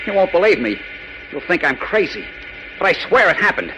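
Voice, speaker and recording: On a noisy old radio recording, a rattled male dispatcher. His voice is a bit high, agitated and insistent in period drama style. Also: very animated, fairly quick. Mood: shaken. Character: shaken, incredulous male subway dispatcher. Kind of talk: 1940s radio acting.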